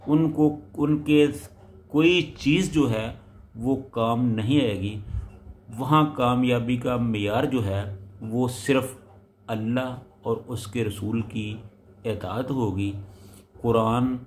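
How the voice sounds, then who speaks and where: A man preaching a sermon. He recites a verse, then explains it in short, measured phrases that rise for emphasis. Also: mid-range voice, slight background noise.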